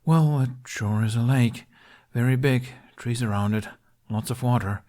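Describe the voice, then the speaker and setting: A man's voice: mid-range voice, unhurried, warm tone, voice pushed up, close to an everyday voice. A man playing a guarded, teasing young man from a fantasy story who hides his homesickness behind wry understatement. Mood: guarded, wistful.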